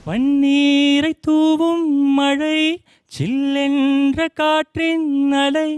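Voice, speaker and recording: A woman's voice: high voice, little pitch movement, extremely slow, a little mellow, no room sound, soft background music. A woman singing a Tamil film song as a contestant at an audition.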